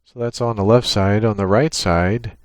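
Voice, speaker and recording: Low-voiced man giving a technical walkthrough. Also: steady tone, normal speed, no room sound. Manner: matter-of-fact, technical explaining. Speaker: software tutorial presenter. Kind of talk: unscripted screen-share walkthrough. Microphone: USB microphone with gate and compressor.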